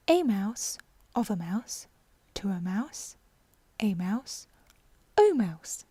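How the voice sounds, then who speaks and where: A woman narrator with a fairly high, soft and breathy voice reads a bedtime story in an ASMR style. The sound is close and very dry. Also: no room sound. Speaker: audiobook narrator.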